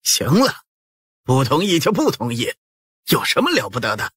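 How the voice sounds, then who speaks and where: A man's voice: medium pitch, wildly swooping pitch, moderate pace, fairly bright tone. A man playing an irritable, scheming older prefect in an audiobook drama, curt and exasperated. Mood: irritated.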